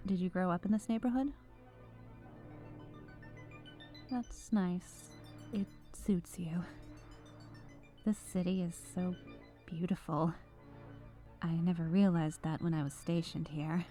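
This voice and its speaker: Woman playing a soft, low-voiced remorseful witch. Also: natural voice. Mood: shy, wistful. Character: remorseful battle-scarred renegade cyborg witch, ex-soldier. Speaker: ASMR voice performer. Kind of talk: scripted roleplay ASMR monologue. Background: background music.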